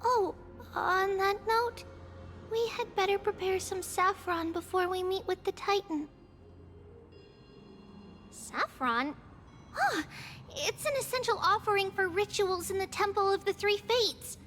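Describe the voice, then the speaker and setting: A woman voicing a caring, responsible little girl in a video game, speaking in a gentle, encouraging, articulate way. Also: very high-pitched, measured pace, slight background noise. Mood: thoughtful. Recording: music behind the voice.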